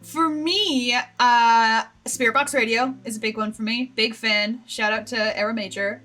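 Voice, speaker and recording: An animated, teasing woman chatting casually as a podcast panelist. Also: on the high side, unhurried, soft background music. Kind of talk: casual group chat.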